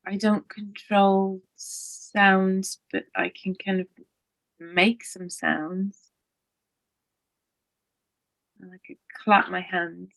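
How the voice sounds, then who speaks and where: Slow-spoken woman musing thoughtfully. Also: mid-range voice, little pitch movement, gravelly, fairly bright tone, dry recording, rough recording. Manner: thoughtful, self-deprecating humor. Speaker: guest. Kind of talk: reflective conversation.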